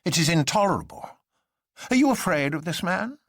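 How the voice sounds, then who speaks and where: Storybook hero, young man played by a male reader: reckless, boisterous, declamatory, pitched up with big swoops. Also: very animated, fairly quick, fairly bright tone, no echo, studio quality, exaggerated voice. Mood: indignant. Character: excitable, reckless young man sworn to defy fear. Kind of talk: dramatic storybook reading.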